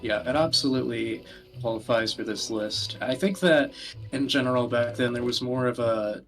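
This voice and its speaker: A man hosting a casual discussion, speaking haltingly with frequent pauses as he searches for words. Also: mid-range voice, over music, poor audio. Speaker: podcast host. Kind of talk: casual discussion of video games.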